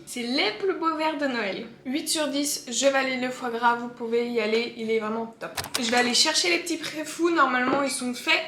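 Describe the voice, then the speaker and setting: A woman's voice: high-pitched, a bit bright. A young woman casually chatting as she tastes food for her vlog, candid and full of fillers. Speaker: food-tasting vlogger. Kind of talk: casual taste-test chat. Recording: echoey room with faint background hum.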